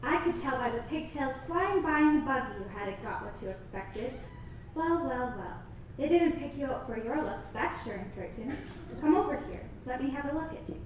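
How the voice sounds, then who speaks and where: A woman's voice: high-pitched, animated, moderate pace, slight background noise. An actress playing a tactless, opinionated older neighbor woman who has raised ten children. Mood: huffy. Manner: tactless, opinionated and self-righteous. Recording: echoey, from a distance.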